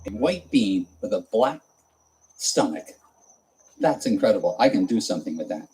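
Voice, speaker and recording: A man's voice: on the low side, big pitch swings, moderate pace, dark tone, pitched below the performer's own voice. A man doing an eager scrappy tinker. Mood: amazed.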